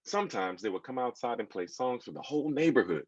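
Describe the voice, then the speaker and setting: An American man in his thirties reading a children's book aloud, expressively, pausing to put questions to his young listeners. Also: mid-range voice, dry recording, lo-fi sound. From United States. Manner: expressive, engaging listeners with asides. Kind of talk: animated storytelling to children, with questions.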